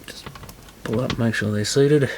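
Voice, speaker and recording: A man's voice: medium pitch, unhurried, a little mellow, a little hiss or hum. A man giving casual hands-on repair commentary, muttering to himself as he works. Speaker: repair video presenter.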